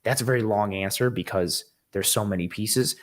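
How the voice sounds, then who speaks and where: Hoarse, raspy-voiced man hosting a football show. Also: lowish voice, moderate pace. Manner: rambling, eager, with frequent ums and restarts. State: hoarse, voice worn from a game the night before. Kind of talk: casual live-stream sports analysis, reading chat comments.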